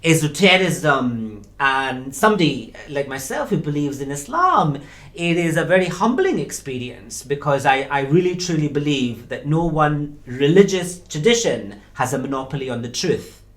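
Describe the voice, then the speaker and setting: A man, a university lecturer as interview guest, speaking in a thoughtful, measured way with pauses. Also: a little high, lively intonation, fairly bright tone. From Scotland. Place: small studio.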